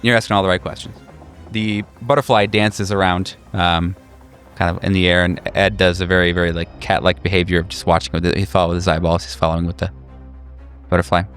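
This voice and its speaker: A man narrating as game master in a casual, halting, improvised style for a tabletop horror game. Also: sits low, level tone, a bit bright, smooth, soft background music.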